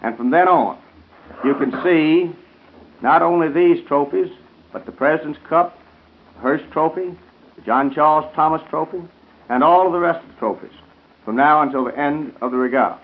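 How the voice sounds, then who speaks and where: A man's voice: fairly high pitch, normal speed. A man giving a formal, earnest 1930s radio talk as a guest promoting an event, now and then stumbling over his words. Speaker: guest speaker promoting a boat regatta. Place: radio studio. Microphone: studio broadcast microphone. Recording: muffled tinny 1930s radio recording.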